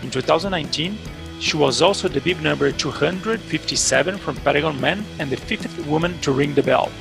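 A man hosting an interview podcast, asking questions with halting restarts. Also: on the high side, vocal fry, over music. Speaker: podcast host.

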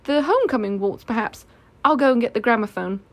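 A woman's voice: a little high, lively intonation, quick pace. A woman voicing a polite, sensible, kindly young boy in a storybook, earnest and well-mannered. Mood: thoughtful.

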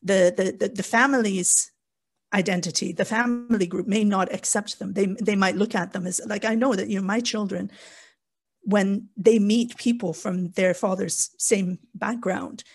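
A woman's voice: mid-range voice, steady tone, moderate pace, breathy edge. A frank woman talking in a panel discussion, rambling through restarts and asides. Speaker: panel guest. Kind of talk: candid conversational discussion.

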